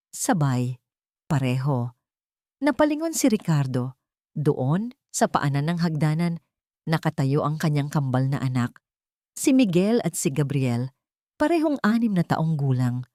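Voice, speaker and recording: A woman with a Filipino accent reading a dramatic story as an audiobook narrator, solemn and expressive with deliberate pauses. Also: deep voice, no room sound, studio quality.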